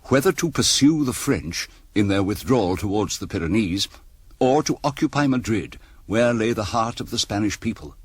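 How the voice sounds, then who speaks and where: An actor playing a measured, dry officer narrator recounting a campaign in a radio drama. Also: male voice, lowish voice, expressive, husky, no echo. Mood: measured, reflective.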